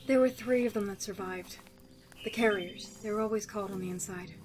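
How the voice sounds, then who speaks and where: A woman playing a guarded, sickly young survivor of cruel experiments in an audio drama, speaking in a wry, measured, confiding way. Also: medium pitch, slight background noise, lightly acted, low-quality recording. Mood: grim, confiding.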